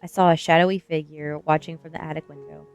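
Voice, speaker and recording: A woman reading a first-person novel aloud in an ASMR storytelling style, with expressive narration. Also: low-pitched, fast, gravelly, clear, resonant tone. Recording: music behind the voice. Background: music.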